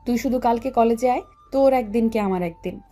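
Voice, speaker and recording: A woman's voice: on the high side, a little fast, dark tone, natural voice. A woman playing a bossy, hot-tempered, romantic college girl in an audio love story. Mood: scolding, demanding. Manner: demanding, scolding, pleading. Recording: music bed under the voice.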